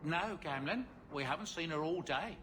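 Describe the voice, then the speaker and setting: Male actor for gentle, courteous servant in a game; raised register, very varied intonation. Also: a little high, expressive, normal speed, lightly acted. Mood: helpful. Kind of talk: video game voice acting. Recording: faint background ambience noise.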